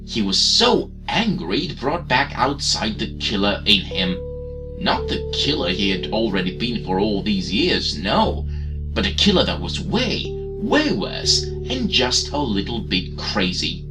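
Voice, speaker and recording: A man whose first language is Greek, narrating a game's plot as a mock bedtime fairytale in a storybook lilt that softens to a hush. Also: fairly low pitch, very animated, a little slow, rough recording. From Greece. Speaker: game reviewer. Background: music.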